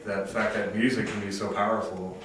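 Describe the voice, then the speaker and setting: A man's voice: fairly low pitch, little pitch movement, fairly quick. A man, a band member, speaking earnestly in an interview, often restarting and repeating his words. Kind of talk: casual interview chat. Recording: echoey room, far from mic, crowd behind.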